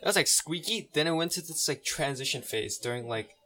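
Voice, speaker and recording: Young male co-host, lively up-and-down pitch, cocky teasing banter. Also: fairly quick, a loud crowd. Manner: brash and teasing, swears freely. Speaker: podcast co-host. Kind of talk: casual chat.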